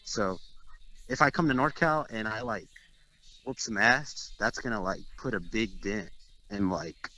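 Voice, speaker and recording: Brash young man talking trash, bright voice. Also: on the low side, measured pace, poor audio. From Texas. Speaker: guest player. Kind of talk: casual interview chat.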